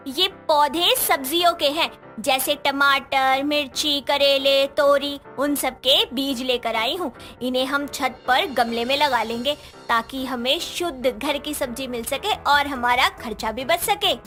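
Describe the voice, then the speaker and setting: A woman voicing a sassy but caring young student, an elder sister, in an animated moral story. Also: high-pitched, measured pace, soft background music. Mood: resolute. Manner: cheeky, scolding, emphatic.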